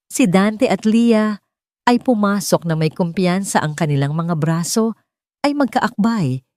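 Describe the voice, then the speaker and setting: Low-voiced Filipino woman narrating a story. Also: a little mellow, no room sound, clean studio sound. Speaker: audiobook narrator. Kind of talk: scripted romance story narration.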